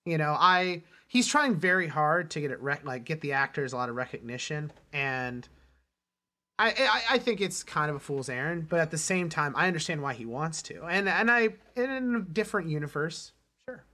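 A man hosting a casual film discussion, halting and often interrupting himself as he talks. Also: on the high side, animated, bright, forceful tone. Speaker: podcast host.

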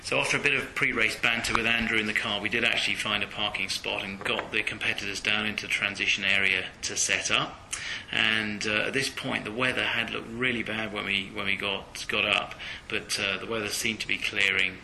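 Gravelly low-voiced man narrating. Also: fairly quick, a little hiss or hum, rough recording. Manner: relaxed, recounting with hesitations. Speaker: podcast host. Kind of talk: recorded narration.

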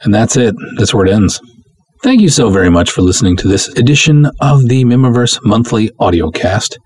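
A man's voice: lowish voice, expressive, fairly quick, darkish tone, far from the mic, a little hiss or hum. A man speaking as the host of a podcast, addressing listeners in a scripted presenting style.

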